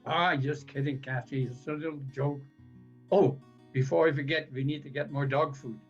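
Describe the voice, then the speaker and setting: A man acts out an older husband in an audio play, husky-voiced and full of teasing. He talks in everyday dialogue, and the recording is slightly dull, with music present. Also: a little high, fairly even intonation, moderate pace. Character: joking older husband who gave up driving.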